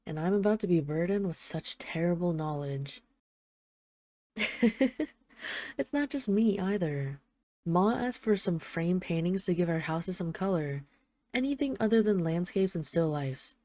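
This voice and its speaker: A woman's voice: fairly low pitch, no room sound. A woman playing an affectionate, wry mafia boss who misses her partner, speaking in an intimate, conversational roleplay monologue like a voicemail. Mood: amused exasperation. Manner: conversational, affectionate, gently self-deprecating, wry. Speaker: audio roleplay voice actor.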